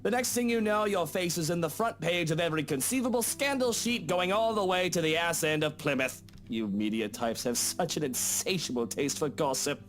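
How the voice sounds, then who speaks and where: An actor playing a haughty upper-class British husband in a visual novel game, condescending and sardonic, with a sneering drawl. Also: male voice, on the high side, moderate pace, few pauses, a bit bright, lightly acted. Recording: guitar music behind the voice.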